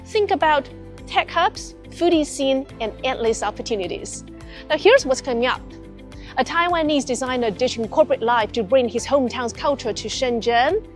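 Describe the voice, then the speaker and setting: A woman's voice: high voice, lively intonation, moderate pace, faint music behind. A woman hosting a magazine show, presenting in a breezy, upbeat, slangy way. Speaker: host.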